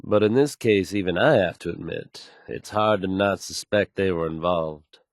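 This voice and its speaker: A man plays a slick, self-assured radio DJ in a game world, his voice on the low side and recorded dry. He delivers the news with folksy, suave showmanship. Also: moderate pace, no room sound, close to an everyday voice. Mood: confident, folksy. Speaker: radio DJ character. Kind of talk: smooth radio news patter.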